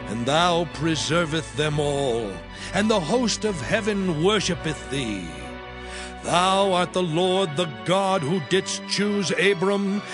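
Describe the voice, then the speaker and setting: A man playing a fervent, reverent Levite praying aloud in a declamatory dramatized scripture reading. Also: sits high. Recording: music bed under the voice.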